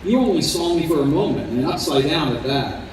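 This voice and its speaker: A man playing a kindly, grave doctor in his fifties in an old time radio drama, gentle and deliberate. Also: fairly high pitch, lively intonation, natural voice. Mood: warm recognition. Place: convention hall stage. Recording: echoey hall, distant mic, faint noise.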